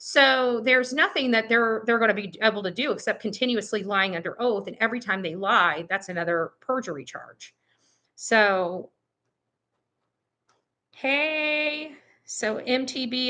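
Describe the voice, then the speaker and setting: A woman's voice: medium pitch, sharp tone. A woman livestreaming a casual monologue to her viewers, blunt and combative, repeating herself for emphasis. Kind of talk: casual livestream monologue, reading and answering chat comments.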